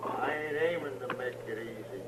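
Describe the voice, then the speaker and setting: A man playing a surly horse thief with rough rustic speech in a western radio drama. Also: mid-range voice, expressive, moderate pace, natural voice. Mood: defiant. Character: defiant, surly male horse thief in the Old West. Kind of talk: 1950s western radio acting. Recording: noisy, roomy old radio recording.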